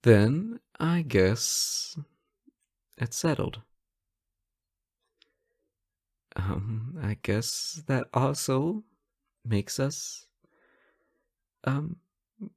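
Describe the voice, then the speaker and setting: Slow-talking, flirty superhero played by a man. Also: medium pitch, a little mellow, slightly rough, full character voice. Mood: bashful. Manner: flustered stammering mixed with teasing. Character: teasing, easily flustered male superhero in love with his nemesis. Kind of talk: intimate scripted audio roleplay, one-sided dialogue.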